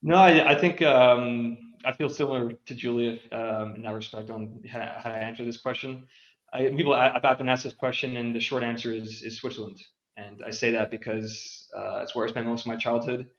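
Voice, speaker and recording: A young man answers as a podcast guest, hesitant, with frequent pauses and restarts. The sound is roomy and slightly muffled, as if recorded remotely. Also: mid-range voice, fairly even intonation. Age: young adult. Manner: hesitant, many ums and restarts. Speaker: guest, international school graduate. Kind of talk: casual podcast conversation.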